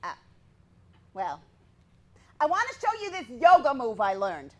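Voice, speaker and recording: A woman plays a nosy, meddling mother in a stage comedy. Her voice is extremely high and slow, blunt yet coyly halting, over faint noise. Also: huge pitch swings, fairly bright tone, exaggerated voice. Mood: bossy, coy. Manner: nosy, coy euphemisms with hesitations. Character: meddling, blunt, eccentric mother of a grown daughter. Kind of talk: staged reading of a comic play.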